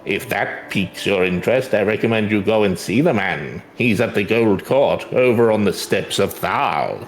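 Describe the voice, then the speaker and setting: A man voicing a brisk, self-important newspaper reporter in an old-timey radio style. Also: fairly low pitch, animated, reverberant, faint music behind. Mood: brisk, busy.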